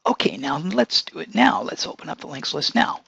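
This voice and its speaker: Hoarse, gravelly male consultant, tense bright edge, dull lo-fi recording. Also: fairly high pitch, expressive, brisk, no room sound. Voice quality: hoarse, whispery voice. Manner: casual, unscripted explaining with many fillers. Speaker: accessibility consultant. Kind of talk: demonstrating software.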